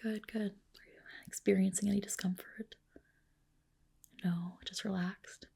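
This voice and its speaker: A woman whispering gently and reassuringly in a breathy voice, playing a spa clinician in an ASMR roleplay. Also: mid-range voice, steady tone, unhurried.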